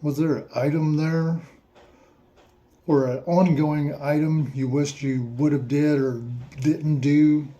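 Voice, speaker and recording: A man slowly asking listeners a series of reflective questions, with playful asides in a surprised voice. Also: a little high, steady tone, warm tone, dull audio. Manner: slow, repetitive questions with mock-surprised asides.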